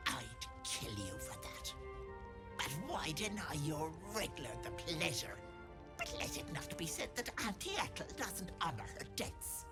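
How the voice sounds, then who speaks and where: A woman's voice: low-pitched, very animated, unhurried, full character voice. An actress playing a cruel, wheedling old hag in a video game, folksy and scolding, with menace in her endearments. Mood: cold, spiteful. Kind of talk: video game character acting. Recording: game music behind the voice.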